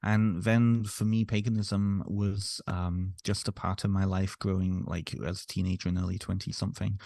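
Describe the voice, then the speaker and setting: A man in his thirties talks through his past with a very low, gravelly voice, pausing often on ums and restarts. He sounds thoughtful and unhurried in a casual interview. The sound is dry and close. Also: flat delivery, dark tone, no room sound. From North Wales, raised in Ontario, Canada. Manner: reflective, many fillers and restarts. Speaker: podcast guest, author.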